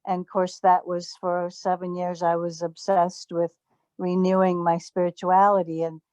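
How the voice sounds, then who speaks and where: A woman's voice: on the low side, no room sound. A woman in her seventies speaking thoughtfully in a community group discussion, with frequent hesitations. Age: 70s. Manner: slow, even, thoughtful, with hesitations. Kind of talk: casual group sharing. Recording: dull dry lo-fi audio.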